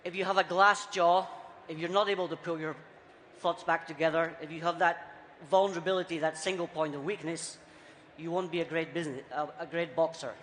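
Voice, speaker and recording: A man with a Scottish accent softened by years abroad, giving a punchy, rhetorical conference talk in short, repeated phrases. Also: on the high side, fairly even intonation, brisk. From Scotland. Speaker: conference speaker. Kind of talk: keynote talk on stage. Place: large conference hall. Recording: reverberant hall, faint background noise.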